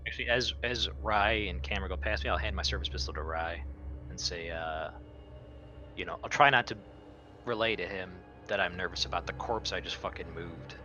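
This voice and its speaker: A man chatting casually as a player in a tabletop roleplaying game, describing his moves in a halting, conversational, blunt way. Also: deep voice, sharp tone, dull audio, soft background music.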